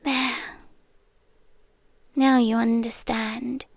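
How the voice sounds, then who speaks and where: A British woman playing a possessive demon girlfriend in an ASMR roleplay, halting and breathy, eerily tender and menacing, close on the mic. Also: on the high side, little pitch movement, no room sound, heavily acted. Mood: eerie, confessional. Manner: breathy whispering, halting, drawn-out delivery. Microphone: close, dry ASMR microphone.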